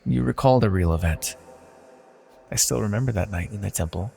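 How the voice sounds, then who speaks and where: A man playing an ancient banished villain deity in a fantasy audio roleplay, proud yet wistful and tender, speaking in a formal, measured, confessional way. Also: fairly low pitch, gravelly, a little mellow, slightly rough, soft background music. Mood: hesitant confession. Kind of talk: scripted fantasy audio roleplay, intimate monologue. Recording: long reverberant hall echo.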